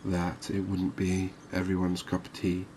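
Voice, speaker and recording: A man giving calm, unhurried ASMR-style commentary on a fishing game, explaining things as he plays. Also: deep voice, a little hiss or hum. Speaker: gaming video host.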